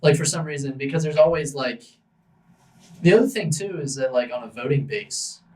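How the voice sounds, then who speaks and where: Young male student co-host, easygoing, slangy, thinks aloud, mid-pitched, mic set a little back. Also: expressive, normal speed, a little mellow, recorded from a distance, low-quality recording. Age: young adult. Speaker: podcast co-host, university student. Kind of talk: casual chat.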